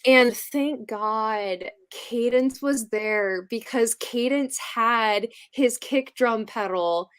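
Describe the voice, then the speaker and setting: A woman's voice: on the high side, drawn-out words, hardly any pauses, clean tone, dry recording. A woman chatting casually in a giggly manner, peppering her talk with "like". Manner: giggly, frequent laughing, heavy use of "like". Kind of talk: casual chat.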